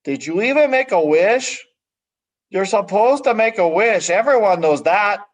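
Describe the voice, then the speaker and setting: A bossy storybook big brother, acted out by a man, pitched well up high. He is a boy who is easily annoyed and speaks with exasperated emphasis. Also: animated, a little slow. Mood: bossy, scolding.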